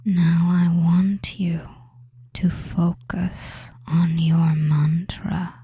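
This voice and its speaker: Very slow, breathy whispered hypnotist voice. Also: medium pitch, level tone, warm tone, no room sound. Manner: very slow, drawn-out short phrases with long pauses, coaxing and commanding. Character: seductive, commanding, soothing witch hypnotist. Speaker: audio roleplay performer. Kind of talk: whispered hypnosis audio roleplay, slow guided trance.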